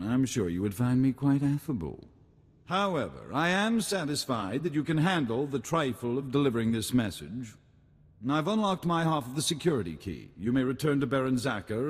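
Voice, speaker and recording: An actor playing a pompous, easily outraged aristocrat in a video game, speaking with haughty, formal condescension. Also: male voice, mid-range voice, big pitch swings, moderate pace, breathy edge. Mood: grudging, smug. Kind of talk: scripted video game voice acting. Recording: long hall reverb.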